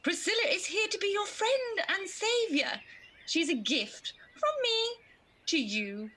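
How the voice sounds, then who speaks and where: A woman's voice: very high-pitched, animated, measured pace, bright, forceful tone, slight background noise, exaggerated voice. A woman playing an eccentric, kindly good fairy in a radio pantomime, with a theatrical, grand manner. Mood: sneezy, flustered. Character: batty well-spoken good fairy, sneezing, eccentric and kindly.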